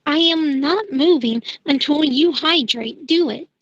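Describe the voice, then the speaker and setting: Dull dry recording, woman as bossy young witch, high voice, insistent, amateur audio drama. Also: unhurried, dry recording. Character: Jen, bossy, stubborn schoolgirl witch, fussing over her friend.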